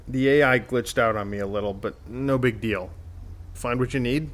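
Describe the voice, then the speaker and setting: A man's voice: mid-range voice, normal speed, close to an everyday voice. A man playing an easygoing spaceship crewmate, speaking in casual, terse lines in a science fiction audio drama. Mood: unbothered. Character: laid-back, easygoing male spaceship crewmate.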